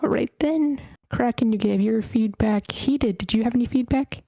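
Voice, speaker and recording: A woman's voice: fairly high pitch, moderate pace, vocal fry, dark tone, no echo. A woman informally moderating an online group meeting, addressing participants and running a feedback round. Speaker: moderator of an online table read. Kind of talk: informal moderating, calling on speakers.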